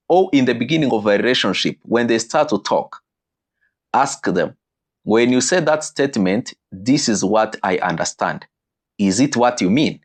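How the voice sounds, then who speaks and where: Animated man teaching. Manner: animated, with sudden pitch leaps and slowed emphatic phrases. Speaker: marriage teacher. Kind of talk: teaching talk on marriage.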